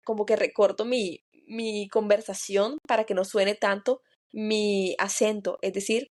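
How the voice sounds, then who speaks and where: A woman's voice: mid-range voice, darkish tone. A woman with a Spanish-speaking foreign accent co-hosting a podcast. She talks in a heartfelt, personal way and often repeats small words as she goes. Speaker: podcast co-host. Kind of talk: reflective podcast chat.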